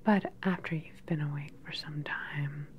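A woman in her thirties playing a calm, sinister scientist in an ASMR sci-fi roleplay, whispering softly, close to the mic, with a clinical, condescending manner. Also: low-pitched, dull audio, a little hiss or hum. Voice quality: soft, breathy whisper. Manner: unhurried, clinical, quietly condescending. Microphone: close microphone, seated facing the camera.

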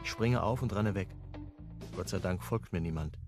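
An actor playing a hunted, wry amateur sleuth, narrating and acting in a crime radio play in a clipped, brooding way. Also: male voice, normal speed, over music. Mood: tense, wry. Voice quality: low, husky. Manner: clipped, brooding, first-person telling.

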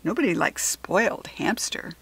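A woman's voice: fairly low pitch, animated, normal speed, gravelly, a bit bright, slightly rough, full character voice. Woman as a mischievous, playful young schoolboy. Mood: teasing.

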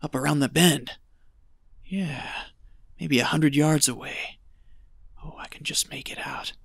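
A man's voice: on the high side, a little slow, creaky, a bit bright, husky, no echo, full character voice. A man playing a stubborn, gold-hungry desert prospector with a folksy way of talking in an audio drama. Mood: wary, scheming. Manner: folksy, muttering to himself when alone.

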